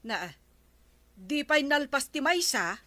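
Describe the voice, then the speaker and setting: A woman's voice: high-pitched, big pitch swings, unhurried. A woman with an Ilocano accent playing a questioning village woman in a radio comedy, on a noisy recording.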